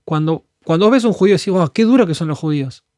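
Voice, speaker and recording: A man's voice: fairly high pitch, expressive, rapid-fire, darkish tone, no room sound, studio quality. A man from Argentina with an Argentine Spanish accent, speaking earnestly on a podcast and acting out prayers as he preaches in a conversational way. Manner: earnest and fervent, acts out prayers as quotes. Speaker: guest speaker.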